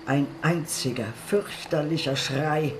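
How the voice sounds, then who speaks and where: Casting: aged village woman, slow, higher-pitched, solemn and mournful, radio play over music. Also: steady tone. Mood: mournful, accusing. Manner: solemn, declamatory lamenting. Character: bent, very old village woman, mournful witness. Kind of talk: radio drama acting.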